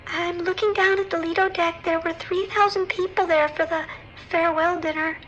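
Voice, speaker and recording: An actress playing a frightened stranded passenger calling for help in an audio drama, shaky, hesitant and alarmed. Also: female voice, very high voice, fairly even intonation, normal speed, fairly bright tone, lo-fi sound, faint music behind. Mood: shaken. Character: frightened, stranded female cruise ship passenger calling emergency dispatch. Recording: noisy emergency call with ambience.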